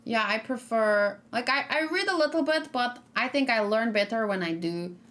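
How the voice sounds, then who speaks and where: A woman streaming live, chatting casually and thinking aloud as she reacts and answers her chat, with plenty of pauses and restarts. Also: fairly high pitch, lively intonation, a bit bright.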